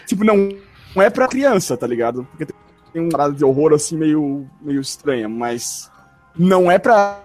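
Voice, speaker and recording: A man's voice: fairly high pitch, big pitch swings, normal speed, soft background music. A Brazilian man on a podcast, recommending a book in a hesitant way, stopping often to search for words. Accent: Brazilian Portuguese. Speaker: podcast co-host. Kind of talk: casual chat.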